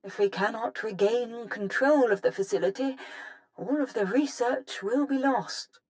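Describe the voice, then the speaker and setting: A female actor plays a bookish research scientist in a video game. Her voice is a little high. She phrases things precisely and academically, with an apologetic edge. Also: unhurried, darkish tone, close to an everyday voice. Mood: uneasy. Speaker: scientist character. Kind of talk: video game cutscene acting.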